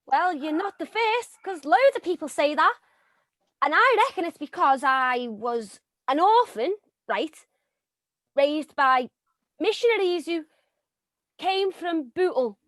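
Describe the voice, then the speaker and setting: Actress as a cheeky gold-digging widow, Liverpool accent, very high bouncy voice, dry close sound. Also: female voice, lively intonation, slow, a bit bright, no room sound, full character voice. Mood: brash. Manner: brash, cheeky, melodramatic. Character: brassy gold-digging young widow faking exotic roots. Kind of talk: stage comedy acting.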